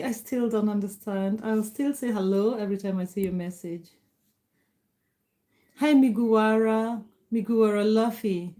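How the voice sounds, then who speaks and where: Mellow-voiced Kenyan woman chatting live. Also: mid-range voice. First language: Kikuyu. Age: middle-aged. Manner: warm, grateful, greets viewers by name. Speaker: livestream host. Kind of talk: casual live chat reading comments.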